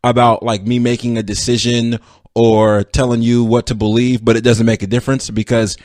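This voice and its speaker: A man's voice: mid-range voice, level tone, moderate pace, a little mellow, no echo, clean studio sound. A young man hosting a casual solo sports podcast, rambling and self-deprecating as he talks straight to his listeners. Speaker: podcast host.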